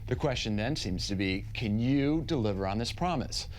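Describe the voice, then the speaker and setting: An actor playing a formal news interviewer in a game cutscene, putting challenging questions. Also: male voice, fairly low pitch, animated, moderate pace, a little hiss or hum, lightly acted. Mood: probing.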